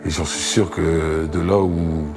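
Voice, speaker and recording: A middle-aged man recounting personal memories in an interview, halting and repeating words as he goes. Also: deep voice, flat delivery. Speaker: rugby mentor and recruiter. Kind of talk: emotional anecdotal interview. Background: background music.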